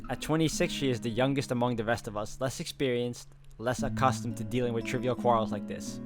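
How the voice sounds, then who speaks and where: A man's voice: medium pitch, fairly even intonation, brisk. A man reading visual novel narration aloud as he plays through the game. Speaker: video game player and narrator. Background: guitar game music.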